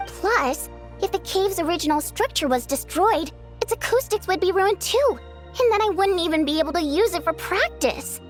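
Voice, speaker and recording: A woman's voice: very high-pitched, expressive, moderate pace. An actress playing a playful, coy female water nymph in a video game, with a sassy, theatrical delivery. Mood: breezy, resigned. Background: game music.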